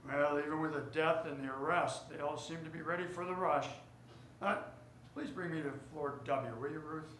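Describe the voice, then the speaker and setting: A man's voice: a little high, steady tone, moderate pace, fairly bright tone, natural voice. Echoey stage recording, man acting a formal store boss. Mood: subdued. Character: department store boss, nervous but commanding gentleman. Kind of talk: 1940s-set radio drama acting on stage. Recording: noisy, echoey live theater recording.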